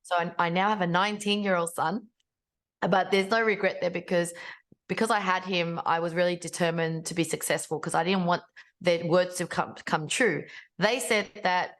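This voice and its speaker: A woman in her forties, born in Vietnam and raised in Australia, telling her story chattily and brightly as a podcast guest. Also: on the low side, steady tone, moderate pace. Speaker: guest, business founder. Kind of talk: podcast interview.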